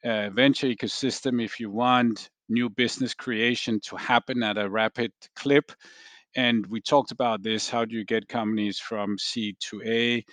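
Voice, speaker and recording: Danish man explaining haltingly, close dry sound. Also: mid-range voice, fairly even intonation, a bit bright, dry recording. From Denmark. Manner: halting, repeats words as he thinks. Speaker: research analyst, interviewee. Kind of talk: explanatory discussion.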